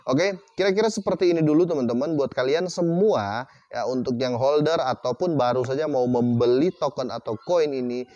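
Man, mid pitch, casual commentary host, dry close mic. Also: mellow, dry recording, highs cut off. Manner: chatty, repeats "teman-teman" after most phrases; reads text aloud haltingly with restarts. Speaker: crypto channel host. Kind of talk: casual screen-share commentary.

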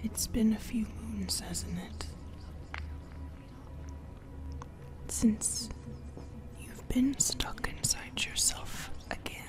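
A sinister female sleep paralysis demon played by a woman whispers in a breathy, intimate voice. She speaks very slowly, teasing and possessive, soothing while she menaces. Music plays clearly behind this ASMR horror roleplay. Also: on the high side. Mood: eerie, coaxing. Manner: slow, intimate, menacingly tender.

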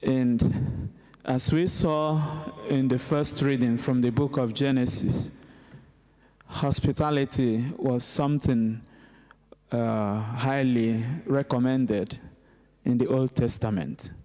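Slow-paced man preaching a homily. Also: mid-range voice, fairly even intonation, dark tone. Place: inside a large church, at the lectern. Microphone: gooseneck lectern microphone.

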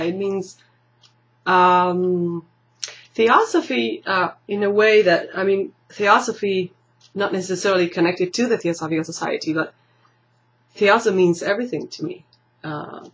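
Thoughtful woman from Argentina, soft lo-fi sound. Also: fairly low pitch, measured pace. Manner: thoughtful, searching for words, laughs easily. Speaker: interview guest. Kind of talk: casual interview. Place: library room lined with bookshelves, indoors. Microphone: camera mic at table distance. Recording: slightly dull lo-fi webcam audio.

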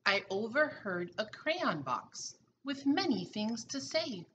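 Female pre-K teacher, middle-aged, storybook read-aloud; bright, animated, slow-paced delivery. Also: a little high, slight background noise. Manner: expressive, deliberate storytelling for young children. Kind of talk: children's picture book read-aloud. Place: small room at home, indoors.

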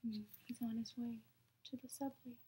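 A young adult woman reading picture books to children at story time in a soft, hushed, breathy manner. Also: a little high, fairly even intonation, normal speed. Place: small room at home, indoors.

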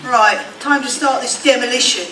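A woman's voice: on the high side, normal speed, lightly acted. A woman playing a daft, cheerful builder, the guileless clown of a pantomime double act, projecting from a stage. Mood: cheerfully daft. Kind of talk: pantomime cross-talk comedy. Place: theatre stage. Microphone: distant camera in audience. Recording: echoey hall from far off, noisy.

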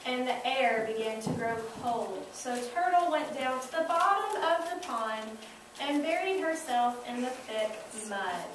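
A woman narrating a children's story in a deliberate way, repeating words for emphasis. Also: sits high, lively intonation. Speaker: narrator of a school puppet show, prompting child puppeteers. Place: classroom, recorded from the audience side. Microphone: distant camera microphone. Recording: echoey room, far mic, noisy.

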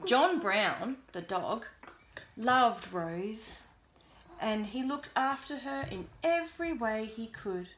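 A woman reading a bedtime storybook aloud to a young child in an expressive, deliberate way, pausing to prompt. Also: fairly high pitch. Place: small bedroom at home. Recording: roomy echo, background noise, clicks.

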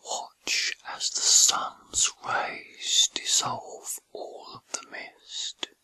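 A man whispering a guided meditation in a soothing, gentle, breathy ASMR style with unhurried pauses.

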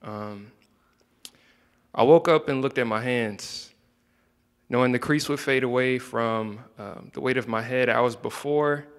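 A young man reading his own spoken word poetry into a handheld microphone, soft-spoken and hesitant between poems. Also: mid-range voice, a little slow, clear, resonant tone, long reverb tail. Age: young adult. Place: indoor room, standing by a wall.

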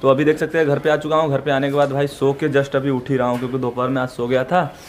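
A man's voice: mid-range voice, level tone, fast. A young man talking casually to a camera he holds at arm's length, narrating his day and calling his viewers "bhai". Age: young adult. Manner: chatty, keeps saying "bhai". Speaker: vlogger. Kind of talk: casual selfie vlog. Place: outdoors, home and gym. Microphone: camera held at arm's length. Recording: echoey with loud street noise.

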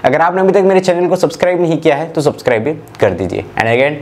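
A man's voice: a little high, very animated, fast. Young Indian man explaining step by step. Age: 20s. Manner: explanatory, step-by-step, mixing in English tech terms. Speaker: tech reviewer and channel host. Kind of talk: scripted-sounding phone feature walkthrough.

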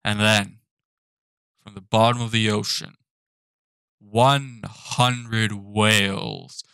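A young man ranting profanely and with exasperation from his apartment, now and then breaking into shouts. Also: lowish voice, level tone, drawn-out words, clear, resonant tone, no echo, rough recording. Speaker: animated channel host. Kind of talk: ranting commentary.

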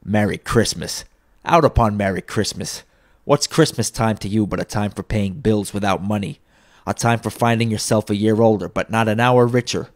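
A man voicing a stern, grumpy old miserly uncle in a storybook reading, with a gruff, curt, indignant growl. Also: on the low side, level tone, brisk, few pauses, mellow, natural voice. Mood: scornful. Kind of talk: dramatised audiobook reading.

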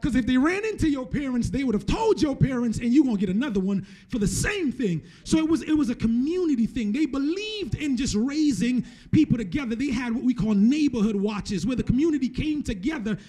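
A man in his thirties preaches with a high, mellow voice. He talks to his congregation conversationally, circling back over his phrases and checking in with "right?" as he goes. He is on a handheld microphone. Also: animated, fairly quick, few pauses. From Jamaica, moved to the US at eleven. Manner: repeats and restarts phrases for emphasis, often tags points with "right?". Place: church stage, indoors. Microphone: handheld microphone held close.

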